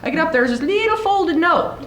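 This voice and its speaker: A man's voice: very high-pitched, lively intonation, a little fast, a bit bright. A teenage boy telling a comic story as a speech, animatedly acting out the dialogue. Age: teens. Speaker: student speech performer. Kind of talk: comedic storytelling speech to an audience. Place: large echoey indoor room before an audience. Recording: very noisy, echoey room recording.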